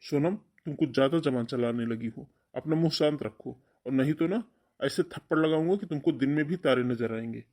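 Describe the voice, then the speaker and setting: Man doing an angry bossy big brother, dark mellow voice, scolding, cartoon drama. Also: medium pitch, fairly quick, lightly acted. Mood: angry, commanding. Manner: scolding, commanding. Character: stern, angry older brother, threatening and domineering. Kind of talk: Hindi cartoon family melodrama acting.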